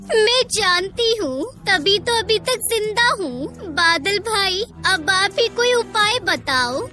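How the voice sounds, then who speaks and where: Woman as a very high-pitched little cartoon bird. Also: lively intonation, takes it slowly, music in the background, full character voice. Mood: hopeful, pleading. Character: small female bird, humble, earnest, hopeful. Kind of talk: Hindi moral cartoon dialogue.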